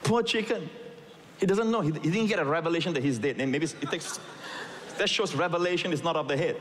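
Emphatic pastor in a big hall. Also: male voice, a little high, brisk. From Singapore, raised in Malaysia. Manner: emphatic preaching with asides and calls to the congregation. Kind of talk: sermon. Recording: long reverb in a large hall.

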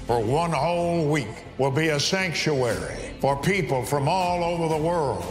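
Man, public prayer, formal declarative delivery, fairly high pitch, reverberant room, music behind. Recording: roomy hall echo, music behind.

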